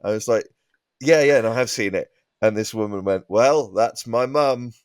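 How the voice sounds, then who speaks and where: Low-voiced man telling comic anecdotes. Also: no echo, clean studio sound. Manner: halting, rambling delivery. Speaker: podcast guest comedian.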